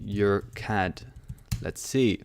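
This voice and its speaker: Low-voiced young man answering chat. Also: slow, a little hiss or hum. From born in London. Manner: casual, reads out chat questions and answers offhandedly. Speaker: trading livestream host. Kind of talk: casual live-stream Q&A. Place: small room indoors. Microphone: headset.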